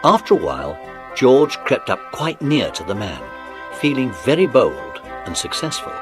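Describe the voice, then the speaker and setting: A man narrating a dramatised children's audiobook in an expressive, animated storytelling manner. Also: medium pitch, normal speed. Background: music.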